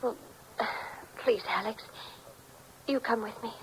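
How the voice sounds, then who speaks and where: A woman's voice: fairly high pitch, slow. An actress with an American accent playing an anxious, fragile young woman, hesitant and pleading, in 1940s radio drama style. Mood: worried, hesitant. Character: anxious, fragile young daughter of a missing professor. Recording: noisy old radio recording.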